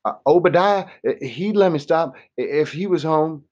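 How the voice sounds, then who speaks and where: A man's voice: fairly high pitch, normal speed, a little mellow, dull audio, pitched above the performer's own voice, close to an everyday voice. A man voicing a big, frightened Southern man on the run, begging in a shaky, stammering voice.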